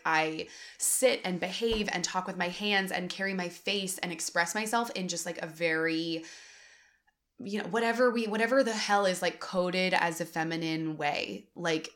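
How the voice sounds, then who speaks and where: Young woman co-host; lower voice, candid and animated, loose conversational delivery. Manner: candid, animated, many fillers. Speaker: podcast co-host. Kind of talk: casual chat.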